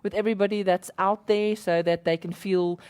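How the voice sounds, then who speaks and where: A South African woman, Afrikaans by birth, hosting a company interview in a halting, giggly way. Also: medium pitch, steady tone, a little mellow, clean tone. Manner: giggly, halting, lots of ums and restarts. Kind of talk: casual corporate interview.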